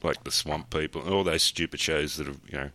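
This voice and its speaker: A man's voice: very deep voice, flat delivery, fairly quick, bright, forceful tone. A man with an Australian accent, co-hosting a casual podcast chat in a laid-back, jokey way. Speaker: podcast co-host.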